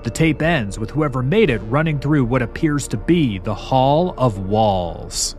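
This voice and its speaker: A man's voice: medium pitch, expressive, measured pace, a little mellow. Ominous male narrator over music. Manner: ominous, dramatic storyteller. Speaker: host and narrator. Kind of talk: scripted narration of a horror mystery. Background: background music.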